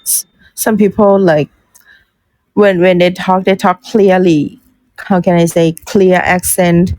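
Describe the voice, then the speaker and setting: Slow, hesitant Thai-accented young woman. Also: lowish voice, flat delivery, darkish tone, no room sound, rough recording. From Thailand. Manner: hesitant, searching for words, many restarts. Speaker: guest, content writer. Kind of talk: casual English conversation.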